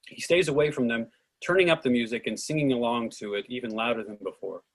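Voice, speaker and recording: Husky man reading stage directions. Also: mid-range voice, fast talker, rough recording. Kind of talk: scripted narration of stage directions.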